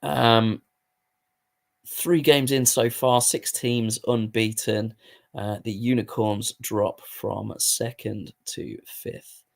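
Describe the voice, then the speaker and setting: A hoarse man giving casual, sweary commentary while playing a football management game. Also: fairly low pitch, steady tone, takes it slowly. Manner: swears freely, muttering asides while playing. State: hoarse, voice going. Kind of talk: casual live gaming commentary.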